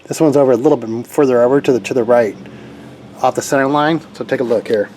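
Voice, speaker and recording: A man's voice: medium pitch, fast talker, darkish tone, slight background noise. A man giving a casual hands-on tech demo as a hobbyist, talking informally and rambling as he thinks aloud. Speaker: hobbyist radio operator demonstrating equipment.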